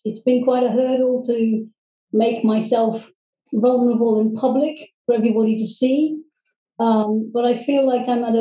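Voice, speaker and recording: A middle-aged woman from Hong Kong, telling her life story as a guest, earnestly and haltingly, with many ums and restarts. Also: fairly high pitch. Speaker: guest, private English teacher. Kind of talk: personal storytelling in interview. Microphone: video call. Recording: distant, roomy, dull video-call audio.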